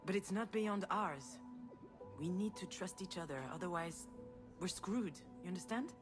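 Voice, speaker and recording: A woman's voice: lowish voice, normal speed, natural voice. Woman acting as a wry thoughtful big sister in a story game, earnest, natural delivery, music behind. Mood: earnest, reassuring. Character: protective, reflective adult older sister and grieving mother. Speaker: game protagonist. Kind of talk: naturalistic drama voice acting, dialogue and inner monologue.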